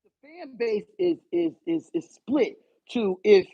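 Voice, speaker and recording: A man hosting a live stream, loud and animated, swearing and arguing back at his chat. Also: high voice, slow, vocal fry, slightly rough, no echo, muffled recording. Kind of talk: casual live stream rant.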